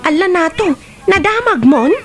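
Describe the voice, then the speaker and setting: A woman's voice: very high-pitched, animated, moderate pace, a bit bright, faint music behind. A woman playing a worried, nagging mother of a wayward son in a radio drama, with an Ilocano accent. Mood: angry, worried. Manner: nagging, reproachful.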